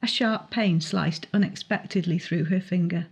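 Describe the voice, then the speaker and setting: A woman's voice: lowish voice, moderate pace, breathy edge. A woman reading her young adult novel aloud as dramatic audiobook narration. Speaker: author reading own novel excerpt.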